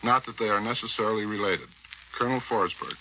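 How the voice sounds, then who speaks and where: A man's voice: on the low side, fast. An American man reporting and interviewing on 1940s radio, reading his script in a wry, emphatic way. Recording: muffled 1940s radio, faint noise.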